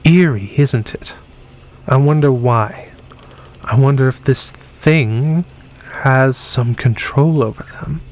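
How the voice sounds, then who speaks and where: Man acting a measured, cautious professor. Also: medium pitch, a little mellow, slight background noise, natural voice. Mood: wary. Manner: measured, analytical. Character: enigmatic, cool-headed, cautious professor. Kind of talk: horror audio drama acting. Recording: faint music behind.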